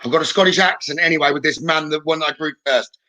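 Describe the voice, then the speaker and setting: Bright-voiced man hosting a live psychic stream. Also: fairly high pitch, quick pace. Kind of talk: live stream readings, chatting with viewers.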